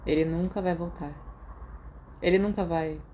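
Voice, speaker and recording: A woman's voice: fairly low pitch, steady tone, normal speed, warm tone, lightly acted. A woman voicing a cold, guarded, haughty schoolboy in an audiobook story, with a Brazilian accent. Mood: bitter. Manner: cold, imperious, suspicious. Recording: noticeable background noise.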